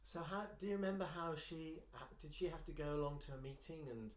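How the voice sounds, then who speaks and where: A woman's voice: deep voice, brisk. A woman interviewing conversationally, feeling her way into questions and prompting attentively. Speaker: oral history interviewer.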